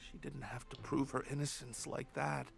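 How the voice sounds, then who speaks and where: Stern guard captain with a gravelly edge. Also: male voice, medium pitch, level tone, moderate pace. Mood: bitter, restrained. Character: stern, cool-headed male guard captain, quietly grieving and vengeful. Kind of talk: video game voice acting.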